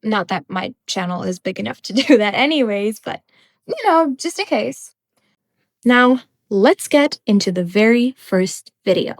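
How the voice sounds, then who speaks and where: A woman's voice: mid-range voice, animated, measured pace. A young woman hosting her podcast, ranting bluntly and without a script, her voice a little off from a cold. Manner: emphatic and blunt, with occasional laughs. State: slightly congested from a cold. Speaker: podcast host. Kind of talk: unscripted ranting commentary.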